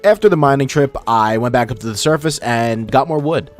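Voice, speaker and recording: A man's voice: mid-range voice, a little fast. A young man with a filtered voice hosting a gaming video, narrating his play in an informal, self-deprecating way. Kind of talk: casual scripted gameplay narration. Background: background music.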